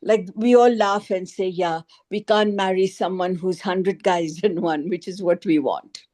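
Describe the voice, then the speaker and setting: A woman's voice: fairly low pitch, a little slow, mellow. A woman in an informal group discussion on a video call, speaking hesitantly and searching for her words as a participant. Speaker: book club participant.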